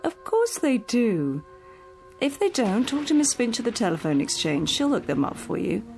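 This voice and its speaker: An actress playing a cheerful, bossy but kind caretaker who coaxes and reassures firmly in a radio drama. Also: female voice, fairly high pitch, very animated, moderate pace, gravelly, a little mellow. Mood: coaxing. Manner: coaxing, reassuring, firm. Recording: radio drama with faint music.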